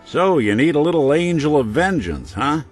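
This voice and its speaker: An actor playing a world-weary male synth detective in a game, talking with a gruff, laconic noir drawl. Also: fairly high pitch, big pitch swings, normal speed, soft background music. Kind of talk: game companion dialogue.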